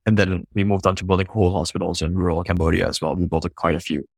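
Deep measured man from Singapore. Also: level tone, gravelly, dry recording, clean studio sound. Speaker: founder guest. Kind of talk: interview storytelling.

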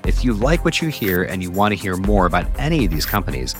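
A man hosting a podcast, reading scripted intros and then interviewing a guest in an upbeat, measured way. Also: deep voice, very animated, soft background music. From Colorado, US. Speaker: podcast host.

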